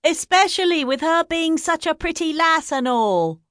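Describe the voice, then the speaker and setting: A shrill, breathless village gossip, as a woman reader puts her on, piping up high and odd in tone against the plain narration. She gushes over every scandal with dramatic relish. The sound is close and dry, with no room around it. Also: expressive, moderate pace, fairly bright tone, dry recording.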